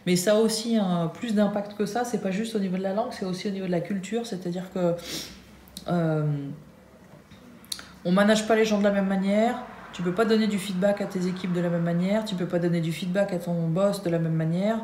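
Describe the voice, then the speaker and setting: Une femme française, la trentaine, qui parle de son travail en vivant aux États-Unis, avec beaucoup d'hésitations et de faux départs. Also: female voice, medium pitch, steady tone, a little fast, warm tone. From France. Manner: hesitant, many fillers and restarts. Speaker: Nike employee in the US. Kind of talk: casual vlog chat. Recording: echoey room with faint hum.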